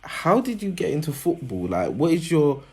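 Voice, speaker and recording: A man's voice: huge pitch swings, moderate pace, a little mellow, slightly rough. A man hosting an interview, speaking earnestly and repeating phrases as he asks his questions. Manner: earnest, repeats phrases, lifts pitch when introducing. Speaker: host and interviewer. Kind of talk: casual interview.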